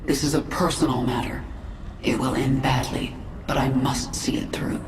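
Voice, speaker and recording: Low, echoing swarm queen. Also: level tone, moderate pace. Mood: cold, commanding. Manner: imperious, intense, partly whispered. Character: commanding, brooding alien swarm queen. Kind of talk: video game voice acting. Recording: echoey, distant, noisy game mix.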